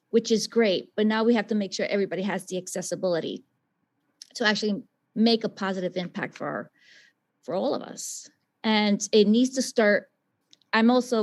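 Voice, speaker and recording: A woman from the South Bronx speaking as a scientist and teacher on a webinar panel, passionate and emphatic in her answer. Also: medium pitch. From South Bronx, New York.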